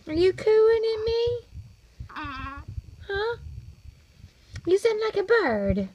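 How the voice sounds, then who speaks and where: A woman talking baby talk to her infant, in a slow, repetitive sing-song. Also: extremely high pitch, big pitch swings, darkish tone. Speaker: parent. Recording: slightly dull home recording, faint noise.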